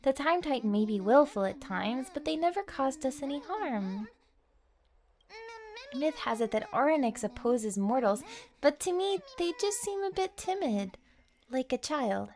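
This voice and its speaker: Small winged game pixie played by a woman: high, animated, earnest, encouraging. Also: measured pace.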